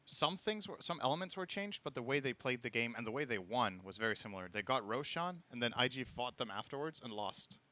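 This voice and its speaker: A man casting a live esports match, calmly analyzing the teams and their draft picks in a dry, matter-of-fact way. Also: mid-range voice, brisk, few pauses. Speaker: esports caster.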